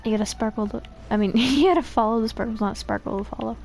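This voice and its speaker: A woman's voice: a little high, quick pace, creaky, a little mellow, soft background music. A woman doing casual gameplay commentary, making silly, rambling asides as she streams. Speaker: gaming streamer.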